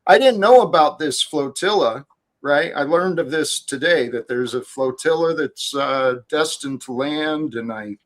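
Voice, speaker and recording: A man hosting a casual group chat, calmly pushing back and correcting the others. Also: on the high side. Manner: calm, corrects others in a measured way. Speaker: host.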